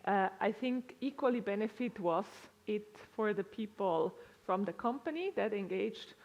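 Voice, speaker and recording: Woman conference speaker, Swiss-tinged accent, middle pitch, relaxed delivery full of fillers. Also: normal speed, lo-fi sound. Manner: conversational, with frequent "uh" and restarts. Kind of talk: presentation with slides to a live audience. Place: lecture room with an audience.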